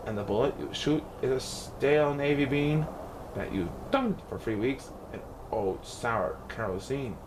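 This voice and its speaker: A man's voice: mid-range voice, noisy background. A man reading a children's picture book aloud in rhyme, with theatrical, playful emphasis. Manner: theatrical, playful rhyming delivery with exaggerated emphasis. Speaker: storybook reader.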